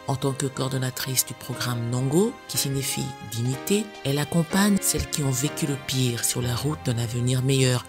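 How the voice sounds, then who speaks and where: A narrator reading a scripted news round-up in a voice-over. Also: mid-range voice. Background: music.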